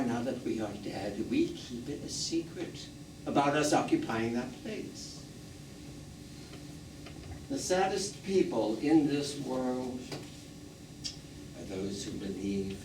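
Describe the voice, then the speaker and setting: An actor playing a proud, wistful old dead man in a stage play, musing philosophically in a deliberate, theatrical way. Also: mid-range voice. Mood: musing, emphatic. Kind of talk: live stage play monologue addressed to audience. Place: small theatre stage, recorded from the audience. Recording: echoey theatre from far off, noisy.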